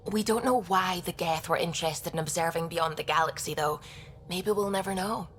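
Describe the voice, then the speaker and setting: An actress playing an earnest, curious and sociable young science crew member in a video game, chatty with hesitant restarts. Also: female voice, fairly low pitch, fairly quick, slight background noise, close to an everyday voice. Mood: thoughtful.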